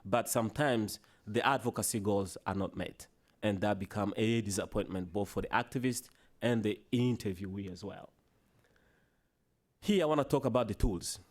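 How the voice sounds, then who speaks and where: Swahili-speaking guy giving a panel talk, lowish voice, lots of restarts and "you know"s. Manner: halting, with many restarts and "you know" fillers. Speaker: conference panelist. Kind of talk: presentation talk with slides. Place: conference hall.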